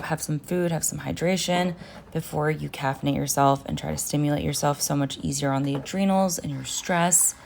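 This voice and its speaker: Low-voiced woman narrating a food vlog. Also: gravelly, slight background noise. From Finland. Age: 30s. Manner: casual, chatty, upbeat, addresses viewers as guys. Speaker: nutritionist and vlogger. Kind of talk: what-I-eat-in-a-day vlog narration.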